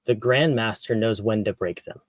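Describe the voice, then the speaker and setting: A man hosting an interview, asking questions in a relaxed, curious way with frequent restarts. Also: on the low side, normal speed, no room sound, clean studio sound. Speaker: podcast host. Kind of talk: conversational interview.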